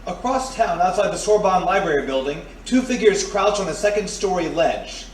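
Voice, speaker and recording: A man's voice: sits high, animated, normal speed, soft background music. A man playing a storyteller who narrates a suspenseful scene in a scripted audio drama. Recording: roomy, distant mic, faint noise.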